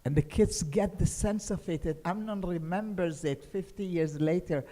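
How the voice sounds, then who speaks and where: A woman in her sixties with a Hungarian accent gives an expressive lecture in a hall, often checking in with her listeners. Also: lowish voice, moderate pace. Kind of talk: lecture on poetry to a seminar audience. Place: lecture hall with a seated audience. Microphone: table microphone on a stand.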